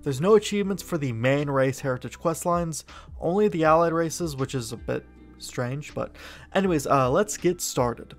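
A man's voice: fairly high pitch, moderate pace. A man narrating video game lore in a conversational, matter-of-fact way, explaining it step by step. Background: background music.

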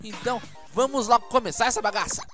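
A man's voice: very high voice, quick pace, sharp tone. A young man with a Brazilian accent giving casual let's play commentary as a gaming video host. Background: game music.

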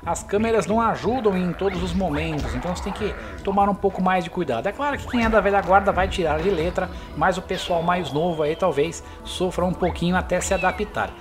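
A man's voice: high-pitched, a little fast, hardly any pauses. Brazilian man narrating gameplay over music. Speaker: gameplay video host. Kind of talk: casual video game commentary. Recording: game music behind the voice. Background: video game music.

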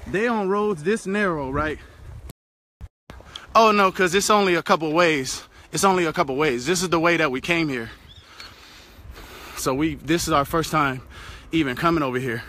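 A man's voice: fairly high pitch, normal speed, pauses often, sharp tone, a little hiss or hum. Young American man vlogging from a bike. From United States. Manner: loose, chatty, swears casually. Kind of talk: casual vlog while cycling. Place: outdoors on a rural road. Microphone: phone held at arm's length. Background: passing cars.

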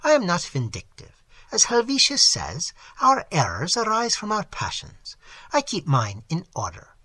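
A reader voicing a vain, self-important French artist man with a French accent, lecturing in a husky voice. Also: medium pitch, wildly swooping pitch, unhurried, sharp tone, no room sound, voice pushed up, heavily acted. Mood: scornful.

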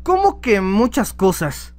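A woman voicing an excitable, boastful teenage boy in an amateur manga dub, dramatic and cocky. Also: medium pitch, slow. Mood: curious. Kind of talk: amateur manga fandub acting.

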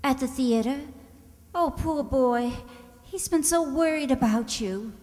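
A woman's voice: high voice, measured pace, a little mellow. A woman playing a concerned older sister in a radio mystery play, coaxing and placating as she talks. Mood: soothing, concerned. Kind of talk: old-time radio mystery acting. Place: stage in a community hall, stand microphone. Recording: echoey hall from stage.

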